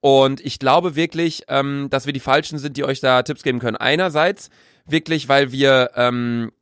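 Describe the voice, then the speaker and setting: A young man co-hosting a casual podcast, slangy and quick to laugh, rambling through his points. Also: mid-range voice, fairly even intonation, fairly bright tone, clear, resonant tone, dry recording, clean studio sound. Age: young adult. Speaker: podcast co-host. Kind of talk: casual banter.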